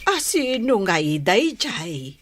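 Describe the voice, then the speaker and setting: Slow-speaking, patient Ilocano mother. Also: medium pitch, big pitch swings. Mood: gentle, advising. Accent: Filipino, Ilocano. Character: caring, patient middle-aged mother giving counsel. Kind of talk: Ilocano radio drama acting. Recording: faintly noisy track.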